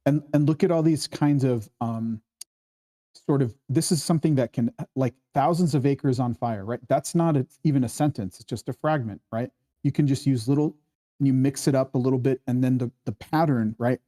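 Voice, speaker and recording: A man hosting a casual screenwriting discussion, explaining in a halting way and often checking for agreement. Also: medium pitch, mellow. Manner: halting, explanatory, keeps seeking agreement with "right?". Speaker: host of a screenwriting table read.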